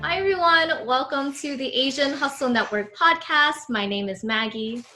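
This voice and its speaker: A woman's voice: sits high, normal speed, bright, forceful tone, faint music behind. A woman co-hosting a podcast, upbeat and welcoming as she opens the interview. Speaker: podcast co-host.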